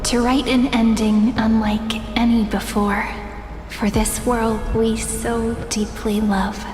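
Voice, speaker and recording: Solemn, even-toned mythic prophetess. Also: female voice, on the high side. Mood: solemn, tender. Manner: measured, poetic, earnest. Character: solemn, tender woman of myth, reciting prophecy. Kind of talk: scripted game drama. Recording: echoey hall reverb, music behind.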